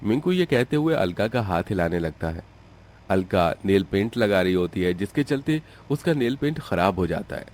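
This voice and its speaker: A man's voice: on the low side, big pitch swings, normal speed, a little mellow. A man narrating a scripted family cartoon story as the storyteller.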